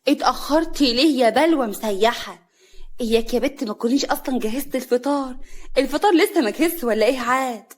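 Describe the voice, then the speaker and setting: An older, domineering mother-in-law from an audio story, acted by a woman, speaks in a high voice with an Upper Egyptian accent. She scolds and mocks with open scorn. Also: fairly quick, a little mellow, lightly acted. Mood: angry scolding. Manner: scolding, sarcastic.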